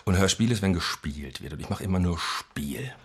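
Low-voiced man chatting as a podcast guest. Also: animated, normal speed, slightly rough. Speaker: podcast guest, audio drama maker. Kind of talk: casual chat. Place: in a home, sharing one microphone with the host.

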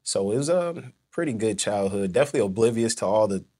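Deep-voiced young man, easygoing and rambling. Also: fast talker. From St. Louis, Missouri. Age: young adult. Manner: relaxed, rambling, many fillers like "you know". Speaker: guest, former football player. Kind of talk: casual interview conversation.

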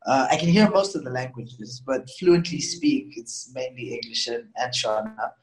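A man's voice: mid-range voice, animated, normal speed, poor audio. A man with a Zimbabwean accent, a musician guest speaking in a casual, conversational interview style.

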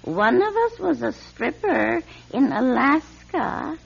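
Radio drama: smug, secret-hoarding older woman, slow and knowing, wildly swooping pitch, lo-fi sound. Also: sing-song, gravelly, heavily acted. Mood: sly, smug. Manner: sly, knowing, theatrical delivery. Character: nosy, smug older spinster who collects neighbours' secrets.

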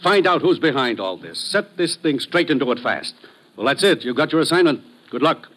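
An actor playing a serious, authoritative government commissioner giving an urgent briefing in an old radio drama. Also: male voice, medium pitch, sing-song, a little fast, natural voice. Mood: urgent, commanding. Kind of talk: 1950s radio drama acting.

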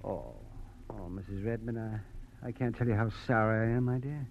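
A man's voice: lowish voice, normal speed, creaky. Earnest, emphatic radio lawman. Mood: solicitous. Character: earnest, excitable male lawman hiding a crooked streak. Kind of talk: classic radio drama acting. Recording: muffled noisy old radio recording.

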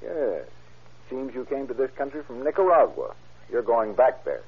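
An actor playing a shrewd, sardonic police sergeant who questions dryly in a 1940s style radio crime drama. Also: male voice, medium pitch, big pitch swings, normal speed, vocal fry. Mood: triumphant, stern. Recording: tinny, muffled old radio recording.

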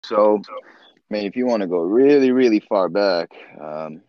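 A man from Nepal, a guest on an interview podcast, telling his life story in a relaxed, chatty way with frequent "uh" and "you know". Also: on the low side, a little slow, no echo, muffled recording. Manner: laid-back, laughs often, trails off with "uh" and "you know". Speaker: guest, swimbait builder.

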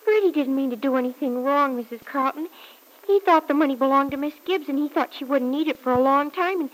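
A woman's voice: high voice, fairly quick, natural voice, poor audio. An actress playing an eager, naive and polite young girl in a vintage radio serial, gushing and deferential. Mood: earnest, pleading. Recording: vintage radio drama recording.

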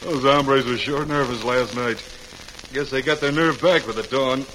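Clear crackle surrounds a man playing a jumpy American outlaw henchman. His cowboy talk is rough and excitable at a middle pitch, in vintage radio Western style. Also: normal speed, close to an everyday voice. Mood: rough, uneasy. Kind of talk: old-time radio Western acting. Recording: noisy, crackling old radio recording.

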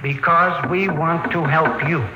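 An actor playing an earnest, deferential young patrol linguist, careful and polite, in old-time radio drama style. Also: male voice, a little high, steady tone, a little slow, reverberant, highs cut off, background noise, lightly acted. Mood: questioning, patient. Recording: vintage radio recording, music behind.

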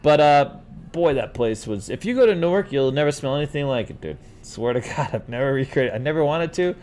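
A man's voice: medium pitch, brisk. A middle-aged man from New York City, chatting casually as a host and rambling through stories while calling everyone dude. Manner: rambling, animated, says "dude" often. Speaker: host answering viewer questions. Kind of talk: casual livestream chat.

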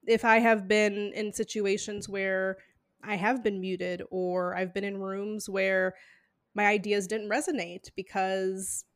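A woman's voice: medium pitch, little pitch movement, slow. A woman hosting a podcast, asking questions in a thoughtful, loosely phrased way with many fillers. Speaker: podcast host. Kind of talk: conversational interview.

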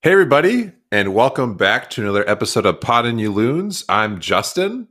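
A man with a deep voice co-hosting a casual sports podcast, easygoing and laughing often. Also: sing-song, a little fast, loud music behind the voice. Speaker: podcast co-host.

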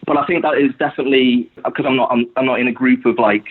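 A young Scouse man from Liverpool chats as a podcast guest, his speech looping through fillers and restarts. His voice is husky and a little breathy. The sound comes through thin and tinny, like a phone call. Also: mid-range voice, steady tone, fast talker. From Liverpool, England. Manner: rambling, full of "you know" fillers. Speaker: guest supporter. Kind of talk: casual podcast conversation.

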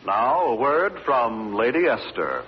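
A man's voice: mid-range voice, very animated, slow pace, slight background noise. A man announcing formally in the scripted style of a 1940s radio show. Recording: dull old radio broadcast over music.

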